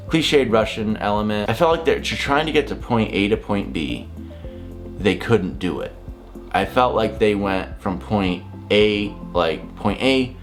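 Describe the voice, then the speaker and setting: A man in his thirties with a low voice talks casually to camera like a video reviewer. He is blunt and exasperated, rambling through his opinions. Faint guitar music plays behind him. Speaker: reviewer, vlogger. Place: small home office, indoors.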